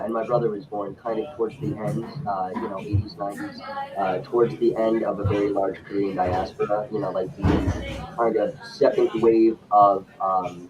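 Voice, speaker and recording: A young man from Michigan, a podcast guest at home, talking in a measured, thoughtful way with frequent pauses. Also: fairly low pitch, poor audio. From Lansing, Michigan, US. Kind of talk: casual interview talk.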